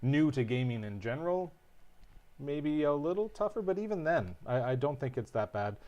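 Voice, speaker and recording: A man co-hosting a board game livestream, calmly walking through setup and rules in a relaxed, explanatory way. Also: a little high, moderate pace. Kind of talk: casual livestream rules teaching.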